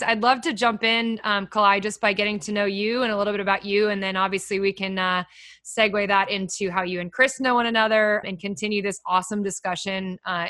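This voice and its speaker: A woman's voice: medium pitch, pushed and bright. A woman hosting a podcast, upbeat and chatty, with plenty of filler words as she introduces and questions a guest. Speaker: podcast host. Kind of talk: casual podcast interview.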